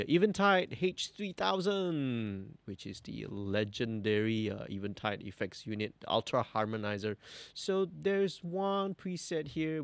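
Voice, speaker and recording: A young man talks through a mix in his studio, narrating each move in a relaxed, thinking-aloud way. His pitch swings freely up and down, and it sits at a middling height for a man. The sound is dry and close, with no room around it. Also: dry recording. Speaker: mixing engineer host. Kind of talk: livestream mixing tutorial. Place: indoor music studio.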